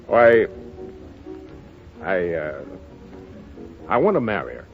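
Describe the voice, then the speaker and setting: An actor in 1930s radio drama style, playing a tough, slangy gambling boss in love, with a blunt, forceful manner. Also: male voice, low voice, very animated, slow pace, soft background music, exaggerated voice. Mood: hesitant. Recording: muffled vintage 1930s radio broadcast.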